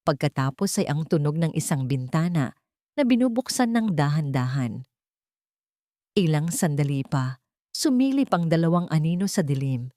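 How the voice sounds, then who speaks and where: Dry, mellow, lower-pitched woman narrator. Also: sing-song, normal speed, no echo. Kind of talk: scripted dramatic story narration.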